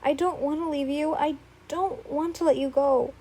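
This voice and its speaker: A woman's voice: high voice, fairly even intonation, normal speed, mellow, pitched above the performer's own voice. A woman voicing an obsessive, tearful young man who pleads in an emotional way. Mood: pleading.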